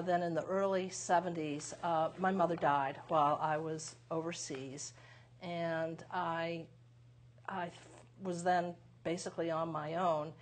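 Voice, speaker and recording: Low-pitched woman in her sixties, guest in a studio interview, unhurried and reflective, pauses often. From United States (Massachusetts). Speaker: guest, lawyer and author. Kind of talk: conversational interview.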